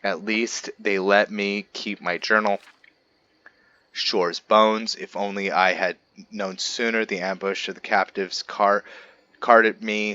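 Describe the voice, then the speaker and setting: A man doing roleplay game commentary and reading aloud as a channel host, halting and often stumbling over his words and restarting. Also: fairly low pitch, pushed and bright, dry recording, muffled recording.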